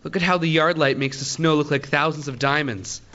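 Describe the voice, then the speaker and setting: Student guy doing earnest lovestruck farm kid, radio play, bright voice, dull noisy audio. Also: fairly high pitch, brisk. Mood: earnest, proud. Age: teens. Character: eager, geeky, lovestruck teenage farm boy. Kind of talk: live school radio play.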